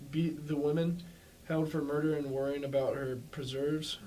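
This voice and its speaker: A man's voice: a little high, fairly even intonation, normal speed, mellow, close to an everyday voice. A man playing a dismissive small-town sheriff in an amateur staged play reading. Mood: dismissive, casual. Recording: distant mic with faint background noise.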